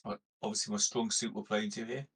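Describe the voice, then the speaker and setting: A man's voice: on the low side, level tone, fast, a bit bright, slight background noise. A man chatting casually as a player in a tabletop game. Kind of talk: casual chat.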